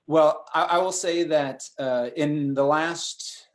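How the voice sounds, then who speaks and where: Halting man hosting an interview. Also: fairly high pitch, steady tone. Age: 40s. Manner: halting, many ums and restarts. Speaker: host.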